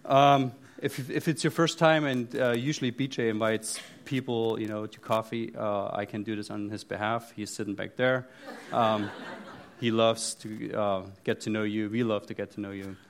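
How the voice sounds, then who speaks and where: A man's voice: fairly low pitch, steady tone, normal speed, a little hiss or hum. A middle-aged man from East Germany with a German accent, speaking from the front of a church with many fillers, restarts and joking asides. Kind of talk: sermon.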